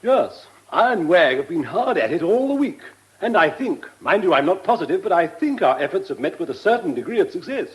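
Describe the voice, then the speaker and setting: A man playing an eager, conspiratorial British civil servant in a radio drama, chatty but measured. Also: high-pitched, very animated, rough recording. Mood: cautiously pleased. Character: eager, conspiratorial male civil servant colleague, cautiously pleased. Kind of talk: mid-century British radio drama acting.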